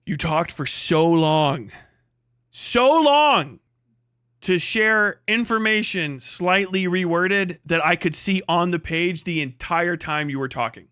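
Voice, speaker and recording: Male stream host, casual reaction commentary: high-pitched, dry close sound, sardonic and foul-mouthed. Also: takes it slowly, fairly bright tone, clean tone, no echo. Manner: sweary, exasperated, mocking. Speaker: livestream host.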